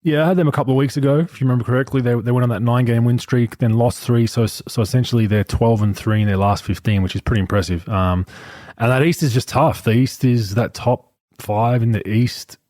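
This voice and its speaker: A man in his late thirties hosting a podcast, chatting about sport in a relaxed, loose way. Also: medium pitch, quick pace, dry recording, clean studio sound. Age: 30s. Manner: relaxed, chatty, frequent restarts. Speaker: podcast host. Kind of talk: casual sports chat.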